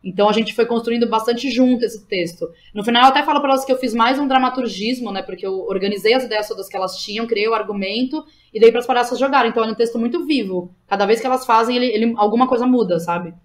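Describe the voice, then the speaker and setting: A woman's voice: a little high, fast, hardly any pauses. A woman with a Brazilian Portuguese accent talking casually about her own work as an interview guest, informal and slangy, thinking aloud as she goes. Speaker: guest, playwright.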